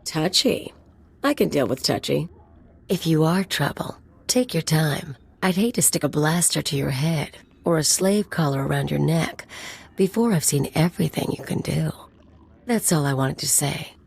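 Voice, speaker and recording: A low, husky female enforcer, full of swagger. She is sultry and taunting, mixing flirtation with playful menace in a video game performance. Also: animated, normal speed, a little mellow, heavily acted. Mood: taunting, flirtatious. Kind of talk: video game character acting.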